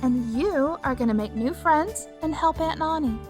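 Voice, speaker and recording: A woman's voice: high-pitched, moderate pace, music in the background, natural voice. A woman playing a tender, reassuring mother talking to a child in a family audio drama.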